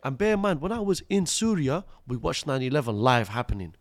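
A man's voice: medium pitch, sing-song, brisk. A man in his thirties, born in Iraq, telling his life story as a podcast guest in street slang, calling the host "bro" and "akhi". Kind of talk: casual storytelling interview.

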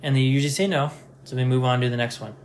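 Relaxed man telling stories. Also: mid-range voice, fast, slight background noise. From Nevada, USA. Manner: relaxed, anecdotal, self-deprecating. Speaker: podcast host. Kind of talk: casual conversation, storytelling.

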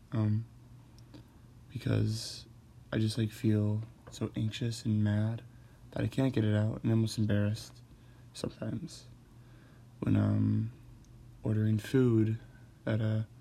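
A man who stutters, talking hesitantly with many pauses and fillers as he hosts a personal monologue. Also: lowish voice, gravelly, dark tone, slight background noise. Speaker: host.

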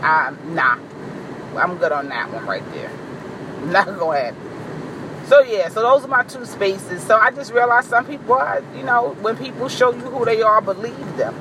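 A woman with a deep voice giving a blunt, profane, boisterous vlog monologue, recorded in a car. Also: lively intonation, moderate pace, background noise. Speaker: vlogger. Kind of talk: candid monologue to camera.

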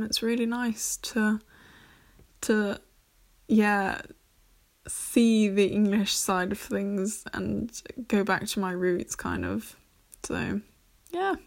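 A woman's voice: medium pitch, vocal fry. A woman from Germany hosting a casual solo podcast, a bit nervous, talking in a hesitant, rambling way with many fillers and restarts. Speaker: podcast host.

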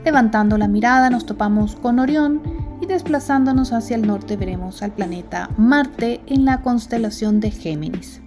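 A woman hosting a radio astronomy program, reading scripted segments in a clear, measured way and interviewing a guest. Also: on the high side. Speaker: radio show host. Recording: music bed behind voice.

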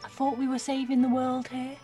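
An actress playing a blunt, cheeky, streetwise nineteen-year-old woman who talks in clipped slang with a slightly husky voice in an audio drama. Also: high voice, completely flat delivery, fairly quick, warm tone, soft background music, lightly acted. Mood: casual, joking. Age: young adult. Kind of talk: naturalistic audio drama dialogue.

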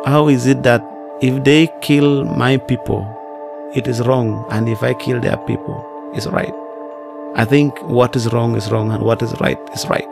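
A man's voice: medium pitch, level tone, measured pace, vocal fry. A man from Uganda giving personal testimony. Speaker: podcast guest. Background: music.

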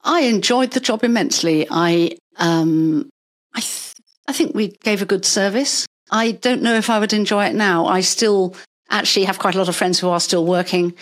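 An older woman, a retired paediatrician, talking through her working life in a measured, explanatory way, with stories along the way. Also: mid-range voice. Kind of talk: conversational interview.

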